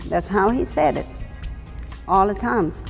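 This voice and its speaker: A woman's voice: medium pitch, lively intonation, unhurried, creaky, in a large hall, soft background music. A woman in her sixties from Thailand, answering interview questions in an earnest, emphatic way. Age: 60s. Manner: earnest, emphatic, non-native grammar. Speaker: ballroom dancer, interviewee.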